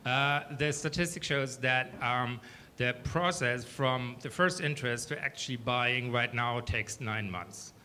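German-accented man with a bright, clear voice. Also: mid-range voice, a little slow, a little hiss or hum. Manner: earnest, steady, many "um"s. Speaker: startup founder pitching. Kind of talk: startup pitch and Q&A. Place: small event room, indoors. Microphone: handheld mic.

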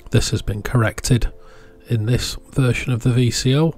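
A man's voice: medium pitch, steady tone, moderate pace, a little mellow, faint music behind. A man giving a casual, plain-spoken voice-over, explaining hobby electronics as the channel's presenter. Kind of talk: casual product showcase voice-over.

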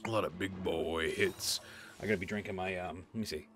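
A man's voice: low-pitched, fairly even intonation, a little fast, fairly bright tone, a little hiss or hum. A man in his thirties chatting casually while playing a video game at home, a little stuffy-nosed and rambling. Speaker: gaming streamer. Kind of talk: casual gameplay commentary. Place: home room, seated at desk.

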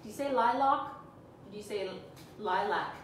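A woman's voice: mid-range voice, a little slow. A Canadian woman in her forties, talking viewers through a live furniture painting demo in a chatty, self-teasing way. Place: small room indoors, sitting on the floor. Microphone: camera on a stand a few feet away. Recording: echoey room, far from mic, background hum.

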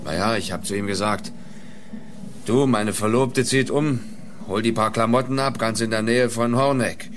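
A man playing a rough, colloquial fairground man under police questioning in a radio crime play. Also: on the low side, normal speed, fairly bright tone, slight background noise, lightly acted. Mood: grudging. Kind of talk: radio crime drama acting.

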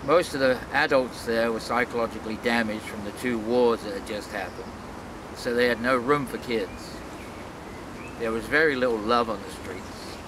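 Casting: man, 60s, reflective interviewee, deliberate with pauses, recorded outdoors with clear background noise. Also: medium pitch. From London, England. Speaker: world traveler being interviewed. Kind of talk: reflective personal storytelling to camera. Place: outdoors in a leafy city park.

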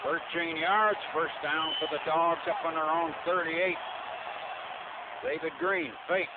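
A man's voice: fairly high pitch, steady tone, slow. A man giving football play-by-play as a radio announcer. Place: outdoor stadium with loud ambient noise. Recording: noisy lo-fi AM-style radio broadcast.

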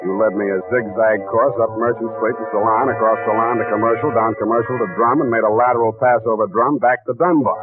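An actor playing a dry, sardonic hardboiled private detective in a 1940s radio drama. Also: male voice, lowish voice, steady tone, fast talker, few pauses, over loud music, close to an everyday voice. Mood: wry narration. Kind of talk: 1940s radio acting and narration. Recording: tinny vintage 1940s radio broadcast.

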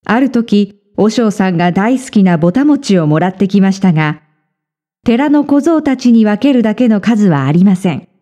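A woman narrating a children's folktale in a measured, clearly phrased scripted style. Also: medium pitch, animated, dark tone. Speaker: storyteller.

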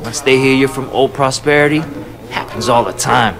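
A man's voice: mid-range voice, normal speed, gravelly, noisy background, lightly acted. A man playing a young, brash, slangy small-time gangster in a video game cutscene. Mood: brash, chummy. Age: young adult. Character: chatty streetwise small-time Hong Kong gangster in jail. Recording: reverberant concrete room, game cutscene.

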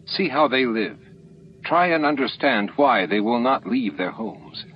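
A man voicing a composed human station commander, polite, deliberate and quietly persistent. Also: medium pitch, animated, pitched above the performer's own voice, natural voice. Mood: diplomatic. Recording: dull lo-fi audio, faint noise.

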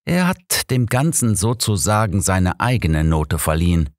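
A man's voice: low-pitched, sing-song, a little slow, no echo, clean studio sound. A man voicing a clever old detective with a wry, matter-of-fact manner in an audiobook mystery. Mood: wry, teasing.

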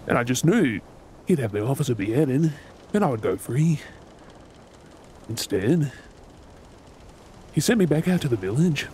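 A man playing a gruff, wounded, bitter yet tender pirate captain in a role-play drama, sardonic and brooding with dramatic pauses. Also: medium pitch, a little mellow, a little hiss or hum. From Australia.

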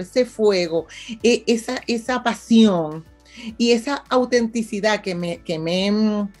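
Venezuelan lady, about fifty, chatty interview guest, keeps asking "ves?", soft music way back behind her. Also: fairly high pitch. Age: 50s. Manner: animated, often checks in with "¿ves?". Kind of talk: casual conversational interview.

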